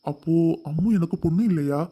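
A woman voicing a stern middle-aged father who speaks in a firm, measured way. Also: low-pitched, steady tone, dark tone, voice pushed down. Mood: hurt.

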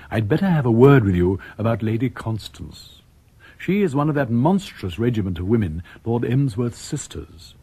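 A witty author telling his tale on radio. His English RP voice is warm and slightly husky. He confides in the listener with measured, wry delivery. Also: medium pitch, dry recording, heavily acted. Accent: English Received Pronunciation. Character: urbane, wry male author narrating his own tale. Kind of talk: scripted narration in radio comedy.

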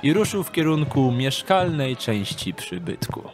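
A man's voice: medium pitch, very animated, measured pace. A man narrating a scripted story in a dramatic audiobook style. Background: background music.

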